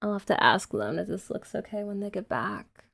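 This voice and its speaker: A woman's voice: medium pitch, lively intonation, normal speed, vocal fry, a bit bright. A young woman voicing a playful, affectionate girlfriend in an ASMR roleplay, chatting casually with giggles and filler words.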